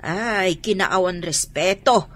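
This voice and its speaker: A woman's voice: on the low side, takes it slowly. A woman playing a fussy, exasperated middle-aged mother in a radio drama. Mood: exasperated, scolding.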